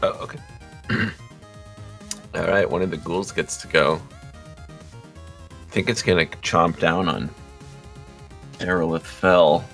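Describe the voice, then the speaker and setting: A man's voice: low voice, fairly even intonation, takes it slowly, creaky, pauses often, a bit bright, faint music behind. A man running a tabletop game, narrating casually to the players as game master.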